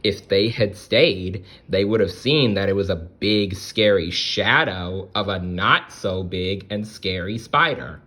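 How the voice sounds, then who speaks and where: A man reading a children's story aloud as storyteller, expressive, with conversational asides to the listener. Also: low-pitched, slow pace, hardly any pauses.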